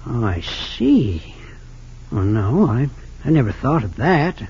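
Husky, suspicious old rich man. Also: mid-range voice, big pitch swings, a little mellow, heavily acted. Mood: bitter, suspicious. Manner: hesitant, earnest, slightly halting. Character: wealthy, suspicious 68-year-old father, bitter about his sons. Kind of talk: 1940s-50s radio drama acting. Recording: dull lo-fi old radio recording.

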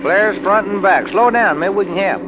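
Actor as brash folksy sidekick, noisy old radio. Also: male voice, high voice, normal speed, dull audio, natural voice. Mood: easygoing, curious. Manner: folksy, slangy, eager. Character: brash, girl-chasing truck-driving sidekick, folksy and good-natured. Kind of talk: 1940s-50s radio drama acting.

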